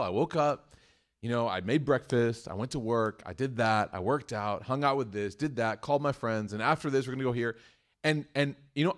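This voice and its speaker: A young man giving a sermon to a live congregation in a mosque hall, conversational and joking as he acts out everyday dialogue. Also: mid-range voice. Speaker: imam.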